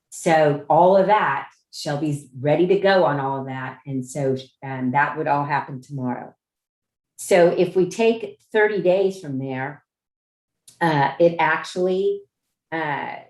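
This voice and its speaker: A woman chairing a meeting on a video call, informally explaining procedure in a halting way, often rephrasing her points. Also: low-pitched, darkish tone. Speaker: chair of a judicial nominating commission meeting. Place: indoor room on a Zoom conference call. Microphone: video call microphone. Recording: roomy, echoing video-call audio.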